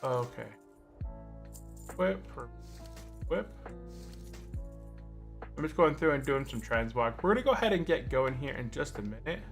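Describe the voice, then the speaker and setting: A man's voice: mid-range voice, very animated, measured pace, vocal fry, long pauses, faint music behind. A man chatting casually on a gaming livestream, rambling and thinking aloud as he plays. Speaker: livestream host, gamer.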